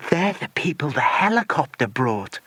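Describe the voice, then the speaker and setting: A man's voice: fairly high pitch, sing-song, normal speed, bright, forceful tone, no room sound, exaggerated voice. A man voicing an eager young girl on an adventure in a children's audiobook. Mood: explaining.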